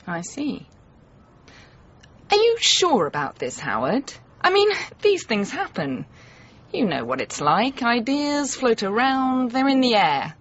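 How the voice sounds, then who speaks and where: Smooth producer, lively intonation. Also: female voice, on the high side, highs cut off, full character voice. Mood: guarded. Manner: measured, hedging, persuasive. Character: evasive, smoothly calculating female TV producer. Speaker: actor. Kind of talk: radio comedy drama acting.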